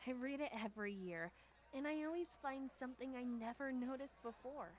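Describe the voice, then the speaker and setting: A woman's voice: sits high, brisk, lightly acted. A woman reading the part of a kind, bookish dater in a visual novel game, reassuring and conversational. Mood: thoughtful. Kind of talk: visual novel dialogue read aloud.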